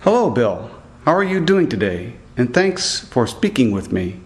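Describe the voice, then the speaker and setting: A man narrating a scripted report as a student reporter, reading aloud in a deliberate way. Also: medium pitch, big pitch swings.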